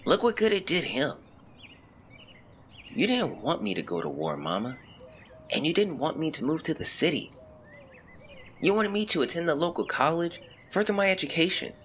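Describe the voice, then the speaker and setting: An actor playing a melancholy young man who reads out a confessional farewell letter in measured, formal words, as in an audio drama. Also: mid-range voice, a bit bright, a little hiss or hum. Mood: bitter, pained, then tender, sorrowful. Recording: clear birdsong and outdoor ambience behind. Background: birdsong, nature ambience.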